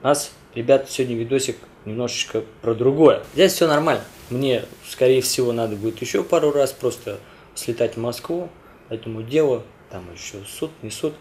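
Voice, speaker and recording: Male vlogger, under the weather, hesitant casual delivery, mid pitch, faint noise behind. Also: a little fast, darkish tone. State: ill, voice affected by a cold. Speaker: video blogger addressing viewers. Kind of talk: casual chat to camera.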